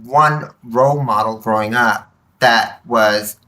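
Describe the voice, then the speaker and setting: A woman guest on a podcast telling personal stories candidly, with an animated, emphatic manner and acted-out quotes. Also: extremely low pitch, slow. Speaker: podcast guest, nonprofit board member. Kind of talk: candid personal storytelling in conversation.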